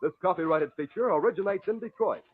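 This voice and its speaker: A man's voice: high voice, fast, no room sound, phone-quality sound. A man announcing commercials in polished, emphatic 1940s radio style, on an old broadcast recording. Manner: polished, emphatic sales delivery.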